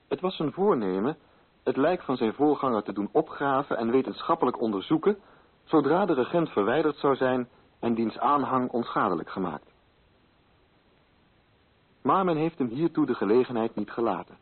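A man narrating a literary radio play from a script, with a husky voice. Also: mid-range voice. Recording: thin, phone-like old radio recording.

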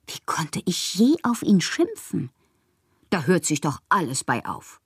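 Low-voiced, moody bohemian poet. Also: female voice, big pitch swings, measured pace, breathy edge, no room sound, clean studio sound, exaggerated voice. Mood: excited, indignant. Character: moody, fanciful bohemian woman poet writing confessional letters. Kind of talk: literary radio drama, dramatic letter reading.

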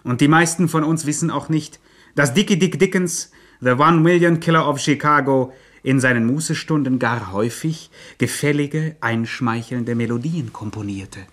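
An actor playing a lofty, declamatory, mock-scholarly narrator in a satirical gangster radio play. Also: male voice, mid-range voice, normal speed, a bit bright, natural voice. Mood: lofty irony.

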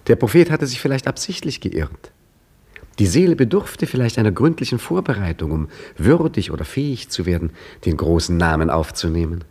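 Restless seeker, really low husky voice, thoughtful then flustered. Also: male voice, big pitch swings, brisk, a little mellow, exaggerated voice. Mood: reflective. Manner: reflective in narration, flustered in dialogue. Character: earnest, restless man hunting a secret name. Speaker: narrator and main character. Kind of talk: 1950s radio drama acting.

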